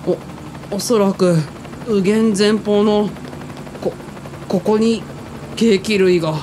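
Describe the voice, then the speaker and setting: A woman as a slow, queasy, feeble young man. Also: mid-range voice, steady tone, noisy background, close to an everyday voice. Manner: halting, broken phrases. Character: helicopter-sick, feeble older brother struggling to talk. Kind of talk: radio drama acting.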